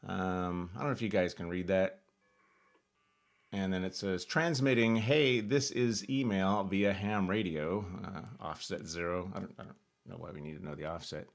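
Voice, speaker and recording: A man's voice: low-pitched, moderate pace, gravelly. An American man in his fifties giving a casual tutorial, thinking aloud as he narrates each step, recorded in a small room at home. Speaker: host of an amateur radio tutorial. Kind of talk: casual screen-share demonstration.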